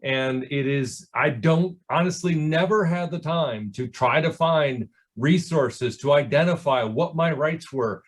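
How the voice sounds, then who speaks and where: A man answering as a political candidate at a forum, speaking off the cuff in a halting, stop-and-start way as he searches for words. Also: fairly high pitch.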